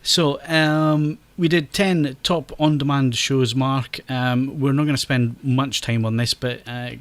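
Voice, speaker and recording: A Scottish man hosts a relaxed podcast in a chatty, easygoing way. His voice sits at an ordinary middle pitch. He laughs often and trips over repeated words as he talks. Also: unhurried, no echo. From Scotland. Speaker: podcast host. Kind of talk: casual podcast chat.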